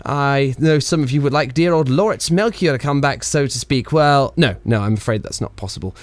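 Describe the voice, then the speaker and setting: A man's voice: mid-range voice, no room sound, natural voice. A man playing a cheeky, whimsical 1920s radio pioneer in a radio play, rambling theatrically with hesitant, playful asides to listeners. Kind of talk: 1920s radio play acting, comic monologue.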